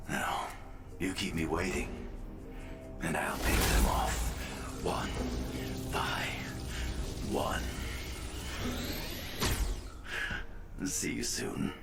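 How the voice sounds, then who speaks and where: Villain for a game cutscene: vengeful, sardonic man, theatrical swings in pitch, unhurried menace, music underneath. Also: creaky, exaggerated voice. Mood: cold, taunting. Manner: taunting, theatrical, deliberate. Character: vengeful, menacing male arms-dealer villain, sardonic and cruel. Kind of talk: video game villain acting.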